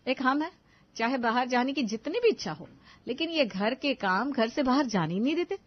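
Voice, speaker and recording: Casting: woman playing put-upon elder daughter-in-law, fairly high voice, wry and reproachful, cartoon drama, muffled sound. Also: animated, moderate pace. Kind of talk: animated family story acting.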